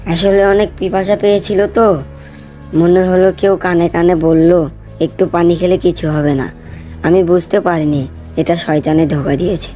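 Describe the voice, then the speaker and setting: A sincere, wavering young boy in a cartoon, acted by a woman. The voice is soft-edged and mellow, slightly low, with faint music underneath. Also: fairly even intonation, normal speed, close to an everyday voice. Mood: sincere, repentant. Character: young boy, thirsty, wavering but repentant. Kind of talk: moral cartoon dialogue.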